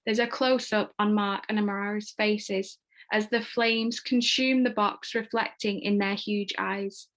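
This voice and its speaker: A woman's voice: medium pitch, steady tone, measured pace, a bit bright. A woman narrating screenplay stage directions at a table read. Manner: reads screenplay action descriptively.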